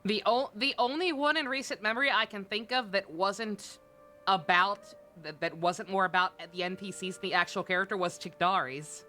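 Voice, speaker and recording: A woman's voice: medium pitch, moderate pace, sharp tone, faint music behind. A woman livestreamer giving casual, irreverent, sweary commentary on a game. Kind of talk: casual live stream commentary.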